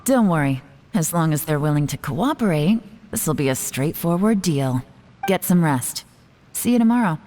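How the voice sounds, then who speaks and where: A woman playing a cool, shrewd investigator in a video game, speaking in a composed, deliberate, cutting manner. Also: low voice, animated, mellow, a little hiss or hum. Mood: cool, businesslike.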